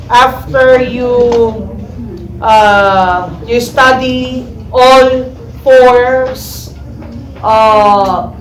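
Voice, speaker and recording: Filipino lady teacher, slow deliberate lecture, keeps saying okay?, roomy echo, bit of noise behind. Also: medium pitch. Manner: repeats "okay?" checks, switches into Tagalog asides. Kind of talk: classroom lecture.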